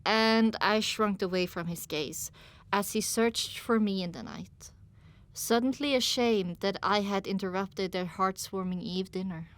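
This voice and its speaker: A woman's voice: mid-range voice, unhurried. A woman reading scripted first-person story narration as an audiobook narrator.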